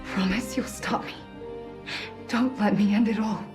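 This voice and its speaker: A woman's voice: medium pitch, steady tone, measured pace, natural voice. An actress playing a mysterious, sorrowful woman who speaks solemnly and imploringly in a cinematic video game. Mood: solemn pleading. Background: music.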